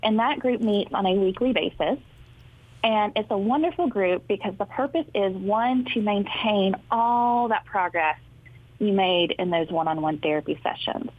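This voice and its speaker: A woman's voice: mid-range voice, moderate pace. Woman speech therapist on a phone line. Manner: friendly, informative, steady explaining. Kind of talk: explaining in a talk show interview. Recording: thin phone-line sound, dry.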